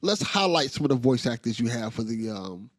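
A man's voice: mid-range voice, very animated, brisk, dry recording, clean studio sound. A man hosting a podcast, chatting casually with his guest and hyping her up with slang. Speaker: podcast host. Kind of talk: casual interview chat.

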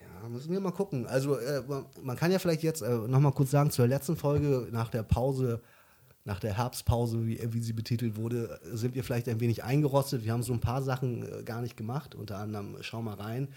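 A young man with a slightly husky voice chats in a casual podcast, thinking aloud. He rambles, breaks off sentences and restarts them, and scatters fillers throughout. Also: medium pitch, brisk, dark tone. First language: German. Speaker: podcast co-host. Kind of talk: casual chat.

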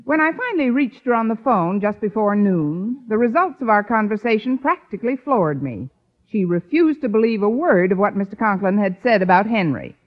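Actress as a lively, wry schoolteacher in radio comedy. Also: female voice, medium pitch, a little fast, lightly acted. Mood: wry narration. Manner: dry, sardonic wisecracking. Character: wry, quick-witted woman schoolteacher with a landlady. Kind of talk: old-time radio situation comedy acting. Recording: dull vintage radio recording.

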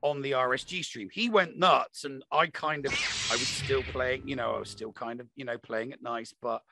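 Male gaming podcast talker: forceful, gravelly, emphatic, repeats for stress, dry sound. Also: mid-range voice, normal speed. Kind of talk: opinionated casual discussion of a mobile game.

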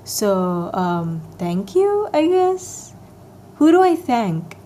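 A young woman hosting her own podcast, chatting casually and rambling with lots of fillers. Her voice is soft and soothing, a little raspier than usual this morning. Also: fairly high pitch, sing-song, drawn-out words, slight background noise. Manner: rambling, filler-heavy, self-deprecating. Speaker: podcast host. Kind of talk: casual solo podcast chat.

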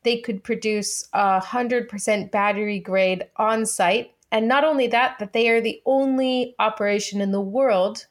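Female podcast co-host, slightly high voice, conversational delivery. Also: steady tone, a little slow, few pauses.

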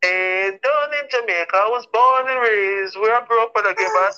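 Man with a bright highish voice telling crude funny stories, muffled lo-fi audio. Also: normal speed, clean tone. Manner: crude, animated storytelling. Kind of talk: comic storytelling.

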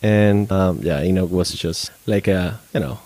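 A man's voice: low voice, a little slow, darkish tone, no echo. A man hosting a conversational interview, easygoing, guiding the talk and prompting with short affirmations. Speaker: host.

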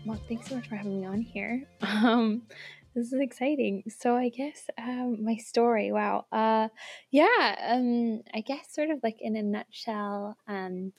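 Young woman guest, relaxed storyteller, slight vocal fry, remote call sound. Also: a little high, unhurried, no echo, soft background music. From Switzerland. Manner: chatty, laughs often, lots of fillers. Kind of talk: casual interview storytelling. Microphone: remote online call recording.

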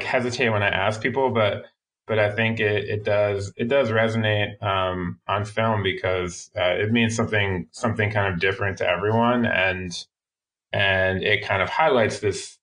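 A man being interviewed as a filmmaker, speaking in a thoughtful, halting way with frequent restarts. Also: on the low side, fairly bright tone, poor audio. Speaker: filmmaker guest. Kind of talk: interview conversation.

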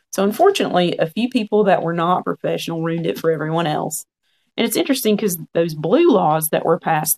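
A man's voice: high voice, vocal fry, darkish tone. A man in his forties, a podcast guest, telling his story in a frank, earnest conversational way. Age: 40s. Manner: frank, earnest, quotes own past thoughts.

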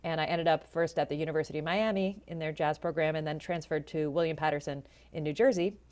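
A woman in her forties with a low voice, telling her story in a chatty, animated way as an interview guest. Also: fast talker. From Florida, USA. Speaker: jazz vocalist and educator, guest.

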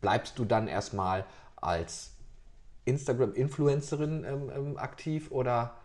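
A man's voice: mid-range voice, measured pace, darkish tone. A man interviewing a young singer, chatty and rambling, restarting his questions often. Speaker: interviewer, local journalist. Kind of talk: casual interview.

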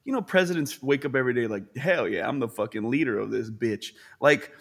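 A man's voice: medium pitch, brisk. Slangy young male podcast guest. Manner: animated, slangy, acts out voices of others. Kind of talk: casual chat.

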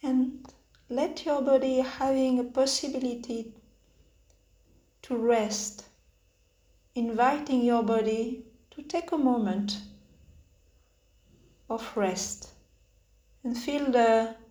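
A woman leading a guided meditation with spoken instructions in halting phrases, pausing often between them. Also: on the high side, steady tone, dark tone. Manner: hesitant, halting phrases with long pauses. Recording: roomy, echoing sound.

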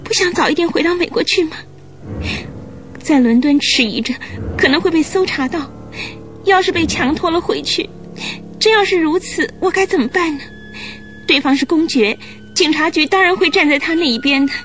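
A woman's voice: extremely high pitch, normal speed, pauses often, fairly bright tone, soft background music. A woman playing an anxious, pleading young runaway bride in a Chinese radio drama. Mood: tearful, anxious. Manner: pleading, emotional.

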